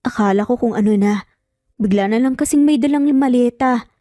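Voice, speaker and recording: Woman acting an anxious, gentle young wife. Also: on the high side, brisk, no room sound, studio quality, lightly acted. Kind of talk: dramatized audio storybook.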